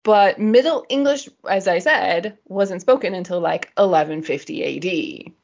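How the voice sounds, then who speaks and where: A slightly muffled recording of a woman with a lowish voice, hosting a casual talk show. She explains things in a nerdy, digressive way with a giggly streak. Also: a little slow, gravelly. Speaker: podcast host explaining language history.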